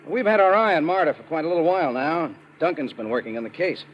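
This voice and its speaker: A man's voice: a little high, fast, close to an everyday voice. An American man acting as a businesslike, assured army lieutenant in a 1950s radio detective drama. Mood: explaining, assured. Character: matter-of-fact male American army police lieutenant. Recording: muffled, noisy old radio recording.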